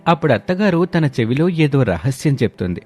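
A man's voice: fairly high pitch, big pitch swings, a little fast, darkish tone, soft background music. A man narrating a scripted story as the storyteller.

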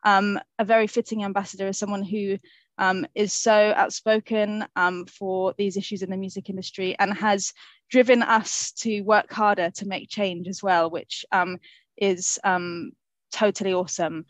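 A woman's voice: medium pitch, flat delivery, moderate pace, no room sound. Upbeat young woman host on a headset. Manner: enthusiastic, lots of "um" and slangy praise. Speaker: interviewer chairing a Q&A. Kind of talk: casual online interview. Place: home bedroom. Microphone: headset on a video call.

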